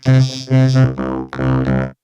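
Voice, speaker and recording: Slow vocoder robot. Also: male voice, medium pitch, sing-song, warm tone, lo-fi sound, faint music behind. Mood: robotic, flat. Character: flat synthetic robot voice from a vocoder. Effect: vocoder robot filter over synth notes. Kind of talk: slow demonstration phrases on keyboard notes.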